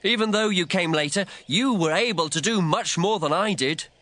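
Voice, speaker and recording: A man's voice: high voice, normal speed, a bit bright, no echo. A male actor playing a bold, fiery ancient commander in a dramatized audio Bible, with a commanding, declamatory delivery. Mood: placating.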